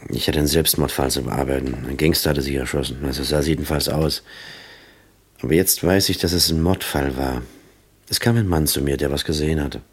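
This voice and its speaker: An actor playing a principled, wronged police detective in a radio drama, plain-spoken and weary. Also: male voice, rumbling low, brisk, breathy edge, heavily acted. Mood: grave, confiding. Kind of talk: German crime radio drama acting.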